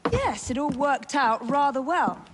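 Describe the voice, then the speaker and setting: Proper young lady assassin, crisp and calm, bit high. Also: unhurried, noisy background. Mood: composed. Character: composed young Victorian assassin woman.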